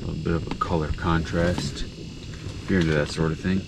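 A young man vlogging a day of ice fishing on a frozen lake, talking to himself and the fish in a low-key way. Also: steady tone, a little fast, gravelly. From Wisconsin. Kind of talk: casual running commentary while ice fishing. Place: frozen lake outdoors, then inside a small ice shanty. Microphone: action camera on the ice. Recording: distant camera mic, loud wind noise.

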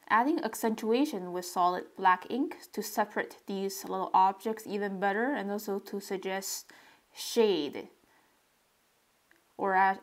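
A woman narrating a relaxed drawing tutorial step by step, describing what she does as she draws. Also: medium pitch. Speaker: sketching tutorial host.

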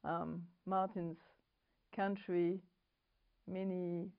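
A middle-aged woman from France speaks English with a clear French accent, pausing to hunt for the right word. She presents to camera in a studio on a lapel mic, and the sound is a bit dull and lo-fi. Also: on the low side, level tone. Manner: halting, searching for English words. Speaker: co-host. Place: studio set with bookshelves.

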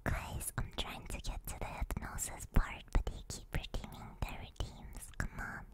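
A woman streaming ASMR, chatting to viewers in a soft, breathy, gentle whisper close to the microphone, with a playful manner. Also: normal speed. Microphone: close microphone, Blue Yeti.

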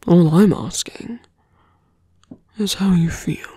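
A man voicing a seductive, smug fantasy villain in an ASMR roleplay, coaxing in a breathy, teasing whisper close to the mic. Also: high-pitched, takes it slowly, dark tone, no room sound, heavily acted. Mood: smug concern. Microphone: close dry microphone.

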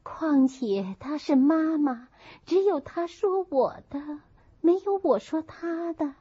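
A woman's voice: high voice, lively intonation, slow, mellow, pitched above the performer's own voice. A woman voicing a meek, resigned young lady in an audiobook, hesitant and passive. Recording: muffled, dull audio.

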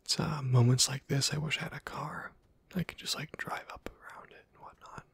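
Male game host for ASMR: slightly high-pitched, very husky, whispered, offhand commentary. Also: fairly even intonation, brisk. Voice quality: breathy, whispery, soft-spoken. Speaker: gaming video host.

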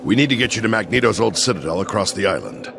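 Game hero: gravelly future soldier, urgent, exasperated, clear ambient noise behind. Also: male voice, lowish voice, expressive, fast talker. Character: stern, exasperated time-traveling soldier from the future. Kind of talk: video game cutscene acting.

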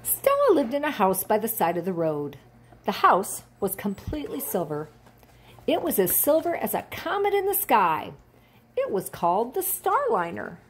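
An older woman reads a children's story aloud as storyteller. Her pitch moves with lots of expression, and there is faint noise behind her at home. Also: big pitch swings, normal speed. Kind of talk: reading a children's picture book aloud. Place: indoors, at a desk at home.

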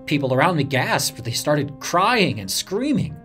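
A man's voice: on the high side, very animated, measured pace, fairly bright tone, faint music behind. A man playing an earnest radio reporter, dramatically recalling a disaster he witnessed, in a first-person story. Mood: shaken. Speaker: narrator of a radio reporter's memories.

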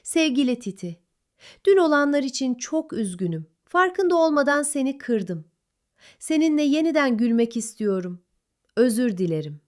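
Storybook hedgehog, young, restless and tactless, high and very animated female performer acts it out. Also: normal speed. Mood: apologetic. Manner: blunt, careless, later apologetic.

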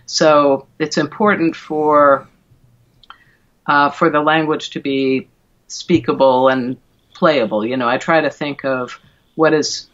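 A middle-aged woman speaks as an interview guest, feeling her way through explanations with a thoughtful, halting delivery. The sound is dull and lo-fi, like a video call from a room at home. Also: low-pitched, fairly even intonation. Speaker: guest, verse translator and classicist.

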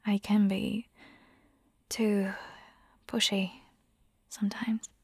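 Woman acting a breathy, half-whispering vampire hunter girlfriend. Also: medium pitch, little pitch movement, drawn-out words, a little mellow, no echo, clean studio sound, exaggerated voice. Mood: apologetic, tender. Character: caring, gently bossy female vampire hunter girlfriend. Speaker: ASMR roleplay performer. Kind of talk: intimate ASMR girlfriend roleplay. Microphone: close microphone.

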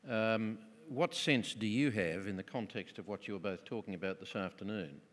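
A man moderating a conference panel, asking measured questions with some fillers. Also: on the low side. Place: conference stage in a large hall. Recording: long hall reverb.

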